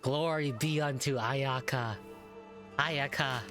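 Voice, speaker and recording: A woman's voice: a bass voice, slow pace, over music. A woman gameplay streamer giving casual reactions while playing a video game.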